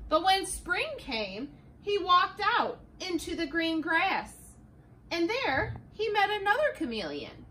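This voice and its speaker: A middle-aged woman teacher reading a picture book aloud in a classroom, slow and expressive, with the camera a few feet away. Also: very high voice, slight background noise. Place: classroom, indoors.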